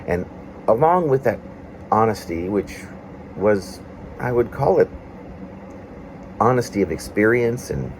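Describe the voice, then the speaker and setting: Very deep-voiced man reading reflectively, faint hum. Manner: meandering, halting phrasing with pauses. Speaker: poet reading own work. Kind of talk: reflective reading of a personal essay-poem.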